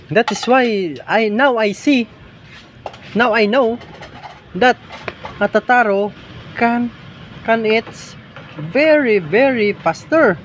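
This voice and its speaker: A man from the Philippines with a Hiligaynon-flavored Filipino accent and Hiligaynon as his first language, narrating over nature footage in English, halting and repeating words as he hunts for the right phrase. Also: very high voice, a bit bright, a little hiss or hum. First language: Ilonggo (Hiligaynon). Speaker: vlogger filming larvae in a field.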